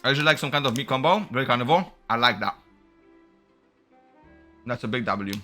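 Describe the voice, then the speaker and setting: A man's voice: mid-range voice, brisk, bright, forceful tone. A man streaming a game, chatting casually and informally with slangy live commentary. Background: game music.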